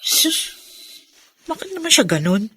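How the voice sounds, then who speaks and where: An actress playing a resentful, pleading married schoolteacher in a Filipino radio drama. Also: female voice, high-pitched, big pitch swings, unhurried, bright, forceful tone, slightly rough, no room sound, full character voice. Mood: puzzled, insistent.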